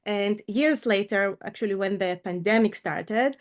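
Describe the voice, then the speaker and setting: A woman's voice: medium pitch, a little slow. A middle-aged woman from Ukraine, raised in Israel, speaking as a coach in a casual video call interview, earnest and thoughtful with small fillers. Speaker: coach and guest.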